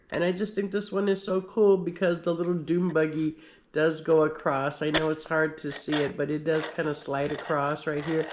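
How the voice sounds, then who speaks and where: A man's voice: fairly high pitch, a bit bright. A man with a slight cold and a slightly nasal voice, talking through his collection in a casual show-and-tell, rambling with many fillers and restarts. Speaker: pin collector.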